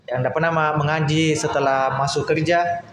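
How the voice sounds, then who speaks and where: Seorang pria muda, tamu podcast, mengobrol santai sambil bercanda dan sering menimpali setuju. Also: male voice, fairly high pitch, little pitch movement. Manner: jokey, chiming in with quick agreement. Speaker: podcast guest. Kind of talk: casual podcast chat. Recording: echoey room, faint hiss.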